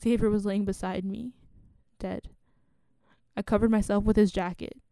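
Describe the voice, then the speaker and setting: Actress as woman refugee survivor, radio drama, soft dark tone, measured, grave, close dry recording. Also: medium pitch, level tone, clear, resonant tone, no room sound, lightly acted. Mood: grave, haunted. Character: guarded woman refugee who survived genocide. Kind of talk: radio play acting.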